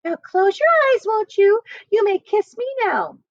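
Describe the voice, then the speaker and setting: Woman doing a sly lad, mock-tender falsetto, slow. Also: sing-song, no echo, lo-fi sound, exaggerated voice. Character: sly young man faking a woman's voice.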